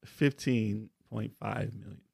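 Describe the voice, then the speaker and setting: A man's voice: fairly low pitch, steady tone, slow pace, gravelly, dark tone, breathy edge, no room sound, studio quality. A man co-hosting a video game podcast, reading out news stories and laughing often between lines. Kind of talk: casual podcast chat.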